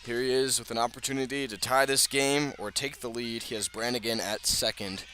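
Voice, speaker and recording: A man's voice: mid-range voice, steady tone, fairly quick, bright, forceful tone. A man with an American accent calling live baseball play-by-play on the radio. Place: outdoors at a ballpark. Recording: outdoor ballpark ambience, clear background noise.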